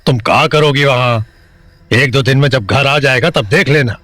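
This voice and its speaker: A man's voice: mid-range voice, normal speed, a bit bright, dry recording, lightly acted. A man playing a weary, blunt, middle-aged small-town father in an audio drama. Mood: gruff.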